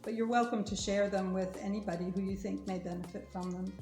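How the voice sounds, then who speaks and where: A woman's voice: mid-range voice, fairly even intonation, brisk, warm tone, roomy sound, soft background music. A woman lawyer speaking to viewers in an earnest, careful way, urging them to listen to everything she explains. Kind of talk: informational talk to viewers.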